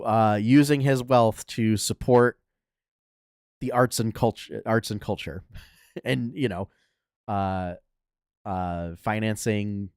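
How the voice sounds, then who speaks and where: A young adult man hosting a casual gaming news podcast, talking in a relaxed way with lots of fillers, a little nasal from a cold. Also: on the low side, no room sound, studio quality. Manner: laid-back, many fillers and restarts. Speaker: podcast host.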